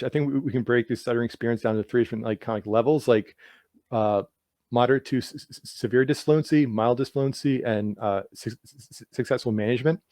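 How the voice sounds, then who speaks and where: A man's voice: medium pitch, a little mellow, no room sound. A man who stutters, answering interview questions casually with many repeated sounds and frequent "like" fillers. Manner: stutters with sound and word repetitions; heavy use of "like" as filler. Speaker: guest author.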